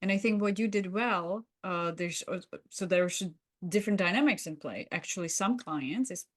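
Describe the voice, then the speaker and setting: A woman who co-hosts as a coach, talking conversationally with hesitant, pausing phrasing. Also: medium pitch, no room sound, clean studio sound. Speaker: podcast co-host and coach with clients.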